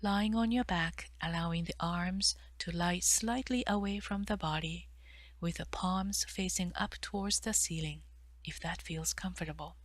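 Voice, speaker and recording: A woman guiding a meditation in a soft, breathy delivery with measured pauses between phrases. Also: on the low side, dry recording. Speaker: meditation guide, therapist. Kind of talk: guided meditation narration.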